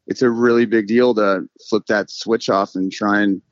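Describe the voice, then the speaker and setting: A man's voice: on the low side, fairly even intonation, normal speed, no echo, lo-fi sound. Laid-back rambling middle-aged man. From California. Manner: loose, rambling, full of "like" and "you know". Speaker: freestyle motocross rider, guest. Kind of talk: casual podcast conversation.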